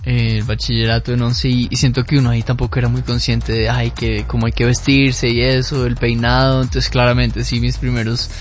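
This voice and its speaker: Soft-spoken young Bogotá man. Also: mid-range voice, flat delivery, fairly quick, hardly any pauses, highs cut off. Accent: Bogotá Colombian. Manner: soft-spoken, hesitant, with fillers. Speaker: guest musician. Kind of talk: casual interview chat.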